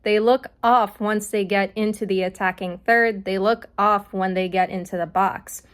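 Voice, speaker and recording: A woman's voice: medium pitch, fairly even intonation, smooth. A young woman hosting her own sports podcast, casually reviewing a soccer match with many fillers, her voice still recovering from an illness. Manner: casual, filler-heavy delivery, reads lineups quickly. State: recovering from voice loss.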